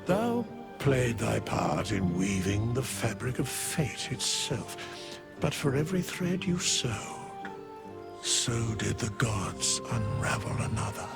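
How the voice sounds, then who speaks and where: Give the part of an ancient undead keeper of fate in a fantasy game. The voice is lowish and gravelly, with wide theatrical swings in pitch. The diction is archaic and grandiose, solemn with a wry, imperious edge. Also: male voice, very animated, slow pace, exaggerated voice. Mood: solemn, measured. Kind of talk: scripted fantasy game acting. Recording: loud background music. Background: music.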